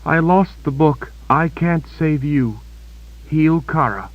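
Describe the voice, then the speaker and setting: A man's voice: on the high side, slow pace, dry recording, highs cut off, voice pushed up. A male narrator voicing a wounded, desperate young woman who pleads with breathless panic. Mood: tearful.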